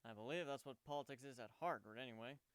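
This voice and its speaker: A man voicing a gentle, wry male pegasus pony who speaks matter-of-factly in a story reading. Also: medium pitch, fast, clear, resonant tone, no room sound, close to an everyday voice.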